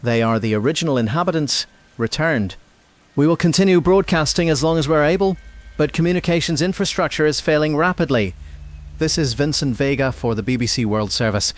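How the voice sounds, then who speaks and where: A man playing a grave, measured news reporter in an apocalyptic audio drama. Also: a little high, lightly acted. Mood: grave, urgent. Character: grave male BBC news reporter during global collapse. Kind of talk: scripted broadcast news report.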